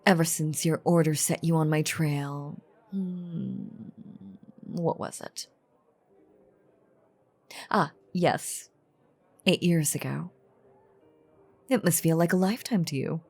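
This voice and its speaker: Woman acting a low, slow, ancient vampiress. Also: darkish tone, exaggerated voice. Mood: teasing, nostalgic. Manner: theatrical, teasing, archaic wording, deliberate pauses. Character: ancient, playful, menacing female vampire toying with her hunter. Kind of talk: dramatic character audio roleplay monologue.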